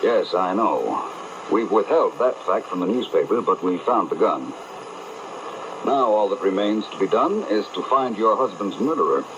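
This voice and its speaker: Polished, assured sleuth for a male actor, low voice, lively intonation, old radio mystery with noisy reception. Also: normal speed, close to an everyday voice. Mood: composed, probing. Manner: polished, composed, authoritative. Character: assured, probing gentleman male detective. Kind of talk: old-time radio detective drama acting. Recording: muffled, noisy vintage broadcast over AM radio.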